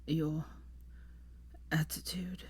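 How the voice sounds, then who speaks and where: Woman as a dying young man, breathy whisper. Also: low-pitched, little pitch movement, darkish tone, no room sound, full character voice. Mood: weak, fading. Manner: halting, gasping whisper in short fragments. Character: dying delirious young man, faint and fading.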